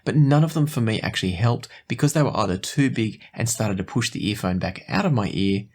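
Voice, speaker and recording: A man presenting a product review in a conversational, explanatory and even-handed way. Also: fairly low pitch, brisk. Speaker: host of an audio gear review show.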